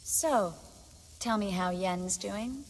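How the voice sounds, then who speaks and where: An actress playing a young sorceress in a video game, wry, guarded and teasing. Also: female voice, mid-range voice, slow, in a large hall.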